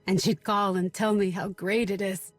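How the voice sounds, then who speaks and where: A woman's voice: mid-range voice, flat delivery, normal speed, dry recording, soft background music, close to an everyday voice. An actress playing a guarded, bitter young woman in a video game, blunt, sardonic and distrustful. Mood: subdued grief. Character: guarded, bitter young local woman searching for missing sister. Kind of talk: video game cutscene acting.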